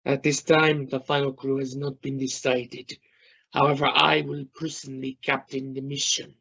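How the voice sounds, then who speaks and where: A man's voice: mid-range voice, flat delivery, gravelly, sharp tone, breathy edge, exaggerated voice. Dull-sounding, brusque scientist, acted by a man. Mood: formal, resolute. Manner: formal, measured, slightly halting phrasing. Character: brusque male Russian space scientist and mission captain. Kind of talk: scripted radio play interview. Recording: dull lo-fi recording.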